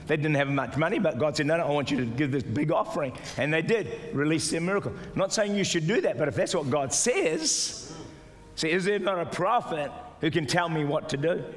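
An older man preaching a sermon as pastor, exhorting the congregation, sometimes shouting and mimicking doubters in a higher voice. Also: animated, a little fast. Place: large church auditorium with stage. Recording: live church hall reverb with music. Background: soft music and congregation responses.

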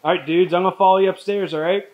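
A woman's voice: lowish voice, fairly even intonation, rapid-fire, highs cut off, a little hiss or hum. A woman giving a casual, upbeat walkthrough of a large empty old mill, chatting with the people around her. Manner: upbeat, chatty, keeps calling others by name. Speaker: distillery owner giving a tour.

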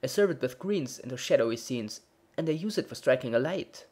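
A mild, fretful baker pleads his woes in a plaintive, anxious voice, as a man reads him aloud. The tone is husky and lifts higher than the narrator's, wavering with worry. He is a gentle figure from a storybook poem. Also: expressive, brisk.

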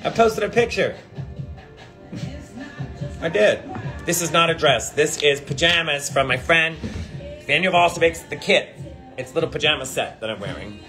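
A man's voice: fairly high pitch, lively intonation, moderate pace, pauses often, bright, forceful tone, soft background music. A man in his forties chatting on a phone livestream, brash, profane and sardonic. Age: 40s. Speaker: drag performer livestreaming. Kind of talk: casual livestream chat with viewers. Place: indoor hotel hallway, then elevator. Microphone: phone at arm's length.

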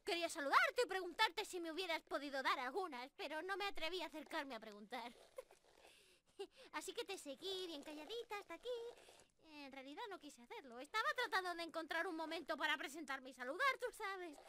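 A woman's voice: extremely high pitch, animated, brisk, pushed and bright, exaggerated voice. A woman voicing a cute, cheeky young squirrel girl in an ASMR roleplay, playful and pleading as she talks straight to the listener. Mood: soft, content. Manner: playful, genki, pleading and apologetic.

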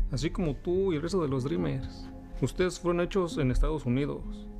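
A man's voice: on the high side, gravelly. A man with a Mexican accent playing an earnest warehouse worker in short film dialogue. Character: earnest warehouse worker defending his equality. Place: indoors in a warehouse.

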